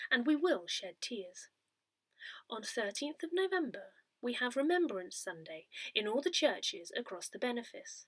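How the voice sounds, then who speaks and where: A woman narrates a written newsletter with careful, measured phrasing. Her voice sits a little high and moves through a lively range of pitch, giving expressive weight to key words. The sound is dry and close, with very little room around it. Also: no room sound. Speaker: volunteer reader of an audio parish newsletter. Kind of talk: read-aloud newsletter narration.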